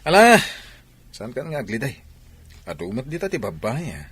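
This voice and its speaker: An actor with a Filipino accent playing a cocky, smooth-talking young soldier and womanizer in a radio comedy drama. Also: medium pitch, sing-song, normal speed, fairly bright tone, exaggerated voice. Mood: teasing, playful.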